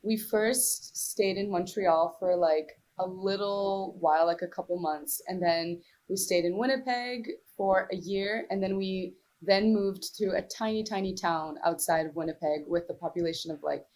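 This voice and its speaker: A woman's voice: lowish voice, normal speed, hardly any pauses, darkish tone. A young woman from Morocco who is a singer-songwriter, answering interview questions casually with many fillers and restarts.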